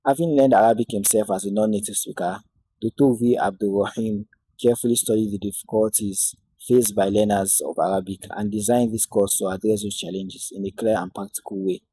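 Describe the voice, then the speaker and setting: A man narrating an introductory Arabic lesson in a measured, devout way, opening and closing with Islamic invocations. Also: fairly low pitch, steady tone, dark tone, slightly rough, no echo, rough recording. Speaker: Arabic teacher.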